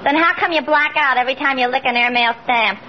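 A woman's voice: high-pitched, fast talker, lightly acted. An actress playing a brash, sassy young woman who needles in slang, in a 1940s radio comedy. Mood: indignant. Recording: muffled, noisy old radio, studio audience laughter.

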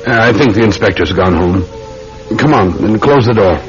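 Actor as low-voiced weary police sergeant, old radio. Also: male voice, animated, normal speed, over music, close to an everyday voice. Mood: dry, guarded. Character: weary, methodical London police sergeant, a patient uncle. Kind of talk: classic radio drama acting. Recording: dull, rumbling, noisy old radio recording.

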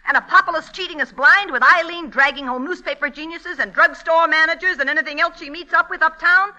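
An actress playing a sharp-witted, exasperated young woman writer in a 1940s radio comedy, with a wry, dramatic delivery. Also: extremely high pitch, brisk, exaggerated voice. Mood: indignant.

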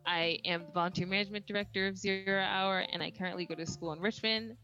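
A woman's voice: medium pitch, steady tone, fast, sharp tone, lo-fi sound, faint music behind. An eighteen-year-old woman from Northern Virginia chatting casually and jokingly as a podcast co-host. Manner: joking, upbeat, filler-heavy. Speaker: podcast co-host, youth organizer.

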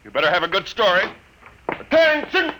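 A gruff, contemptuous patrol sergeant. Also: male voice, high-pitched, sing-song, normal speed, background noise. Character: brusque imperial patrol sergeant, adult man. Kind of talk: 1950s radio drama acting.

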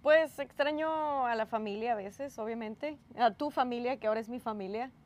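A woman's voice: on the high side, moderate pace. A woman from Tamaulipas, Mexico, co-hosting a casual podcast chat outdoors by a pool, easygoing with short replies. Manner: easygoing, short replies, gently corrects her partner. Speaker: podcast co-host.

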